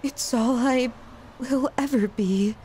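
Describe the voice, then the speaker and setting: Woman acting high-voiced, slow, lovesick yandere girl. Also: mellow, a little hiss or hum. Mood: anguished self-loathing. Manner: emotional, halting, pleading delivery. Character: obsessive, lovesick, self-loathing violent young yandere woman. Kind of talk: intimate ASMR roleplay acting.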